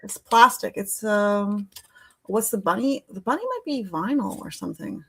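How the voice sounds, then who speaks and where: A woman's voice: mid-range voice, lively intonation, moderate pace, husky. A woman chatting casually and playfully as a livestream host.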